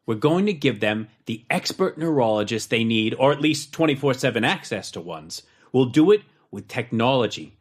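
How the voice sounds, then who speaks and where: An actor playing a confident, driven financier in an audiobook drama, speaking decisively and persuasively. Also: male voice, medium pitch. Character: confident, driven male company financier pitching a plan.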